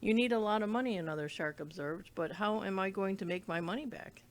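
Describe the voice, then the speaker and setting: A woman's voice: mid-range voice, a little fast. A woman reading a children's book aloud, now and then stumbling over words and rereading them. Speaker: read-along reader.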